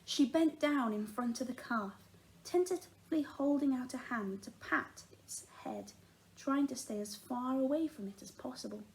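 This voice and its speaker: High-pitched woman reading a children's story. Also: moderate pace, poor audio. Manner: animated, acts out the dialogue. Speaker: teacher reading a children's book aloud. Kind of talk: expressive storytime narration.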